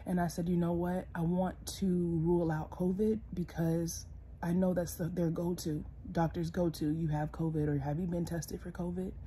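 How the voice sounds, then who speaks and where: A young woman lying in bed and talking casually to her phone camera, congested and hoarse, tired and soft-spoken, sometimes dropping to a whisper. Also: on the low side, little pitch movement, moderate pace, slight background noise. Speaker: vlogger. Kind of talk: casual personal vlog. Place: bedroom, lying in bed indoors. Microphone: phone camera close, held low.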